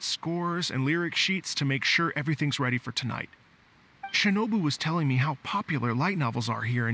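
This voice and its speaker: Upbeat, very bright-voiced rock musician. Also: male voice, on the high side, slight background noise. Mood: easygoing, pleased. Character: upbeat easygoing male rock musician and festival organiser. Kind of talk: video game voice acting.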